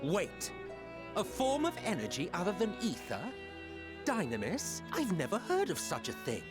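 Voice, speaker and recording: An actor playing an inquisitive, sharp-minded fantasy game scholar with formal, theatrical phrasing. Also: male voice, high voice, huge pitch swings, normal speed, over music. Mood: surprised. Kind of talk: fantasy video game acting.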